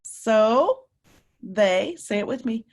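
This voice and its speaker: A woman teacher reading a picture book aloud to young children, animated and emphatic, often putting questions to her listeners. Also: fairly high pitch. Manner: animated, emphatic, drawn-out words, coaxing young children along.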